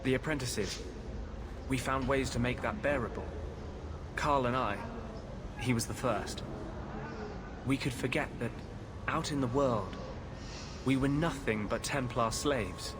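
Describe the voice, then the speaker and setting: A man playing a brooding, idealistic rebel mage in a video game, speaking earnestly and intensely with halting pauses. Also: medium pitch, natural voice. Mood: wistful, confiding. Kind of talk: dramatic video game dialogue. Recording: clearly noisy game audio background.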